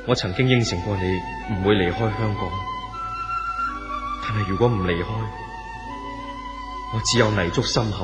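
A man's voice: lowish voice, moderate pace, pauses often, natural voice. An actor playing a lovelorn, devoted man reading out a farewell letter in a Cantonese radio drama, with a Hong Kong accent. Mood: wistful, confessional. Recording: echoey 1970s radio drama, music underneath. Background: music.

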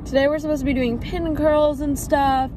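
A tired, congested young woman complains casually to a phone propped on her dashboard. Her voice sits a little high. Traffic noise comes through clearly from outside the car. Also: steady tone, normal speed. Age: young adult. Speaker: vlogger, cosmetology student. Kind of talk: casual vlog to camera. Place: parked car by a road. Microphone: phone camera on the dashboard.

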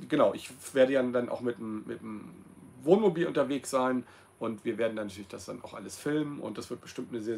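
A man's voice: mid-range voice, creaky, a little mellow. Rambling middle-aged man on a livestream. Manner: rambling, many ums and self-corrections. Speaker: host of his own channel. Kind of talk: casual livestream monologue. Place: small cosy room with red walls.

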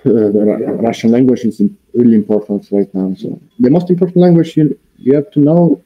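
Ein junger Mann aus der Ukraine, der in Italien lebt, plaudert entspannt und sachlich über ein Headset. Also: male voice, mid-range voice, a little fast. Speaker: video chat stranger. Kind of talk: casual chat. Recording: dull lo-fi web call.

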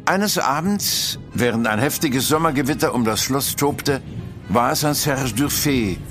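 A man's voice: mid-range voice, expressive, a little slow, a bit bright, faint music behind. A man giving formal, scripted dramatic narration as the storyteller of a German audio drama.

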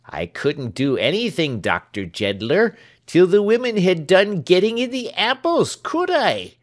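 A man's voice: fairly low pitch, sing-song, extremely slow, pushed and bright, exaggerated voice. A man voicing a small, sour, discontented servant who answers back grudgingly in a storybook reading. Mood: grumbling. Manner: grudging, argumentative retorts.

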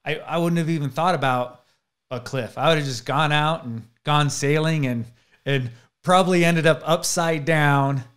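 A man's voice: a little high, normal speed, a bit bright. A man in his thirties hosting a casual interview from a decorated room, enthusiastic and self-deprecating, restarting his sentences often. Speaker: interview host. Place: indoor studio room with posters. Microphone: desk podcast mic, seen.

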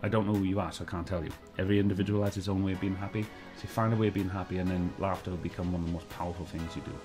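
A quick-talking Welsh man in his middle years, chatting from a sofa at home. His voice sits fairly low, and he rambles along, looping back over his points with plenty of ums. Faint music sits under his voice. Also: fairly even intonation. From Wales. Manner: rambling, repeats himself, many fillers. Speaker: host. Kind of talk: casual review chat. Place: living room, seated on a sofa.

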